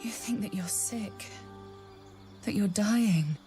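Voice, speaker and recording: Woman playing a slow, seductive dream visitor. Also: mid-range voice, dark tone, soft background music, close to an everyday voice. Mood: seductive, soothing. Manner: breathy, coaxing, intimate, often whispering. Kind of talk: video game dialogue acting.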